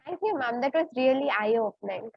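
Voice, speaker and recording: A woman's voice: high voice, animated, normal speed, gravelly, no echo. A woman audience member in a webinar asks a question politely and formally. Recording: dull, dry webinar audio.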